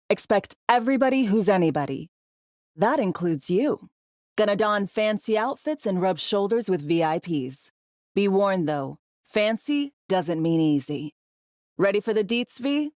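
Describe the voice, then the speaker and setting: An actress playing a tough, bossy, wry spy handler in a cyberpunk video game, with clipped, slangy, teasing delivery. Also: female voice, medium pitch, darkish tone, dry recording, studio quality, close to an everyday voice. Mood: brisk, teasing. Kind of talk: video game voice acting, briefings and radio guidance.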